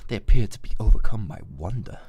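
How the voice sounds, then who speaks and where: A man's voice: on the low side, lively intonation, quick pace, dark tone, slightly rough. A man hosting a gaming video, reading aloud and joking along in a playful, theatrical, giggly way. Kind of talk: jokey gameplay commentary and reading aloud.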